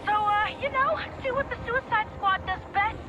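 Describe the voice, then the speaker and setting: A woman's voice: very high voice, normal speed, muffled recording, crowd noise. A young woman voicing a bubbly, giggly young female vigilante who leads a heist in a video game cutscene and talks in casual slang. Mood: upbeat. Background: loud game score music.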